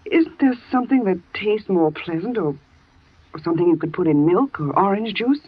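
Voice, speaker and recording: A woman's voice: mid-range voice, lively intonation, moderate pace, husky, highs cut off. An actress playing a scheming woman in a 1940s radio thriller, pressing hard and insistent, heard slightly off-mic on a dated broadcast. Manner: urgent, insistent.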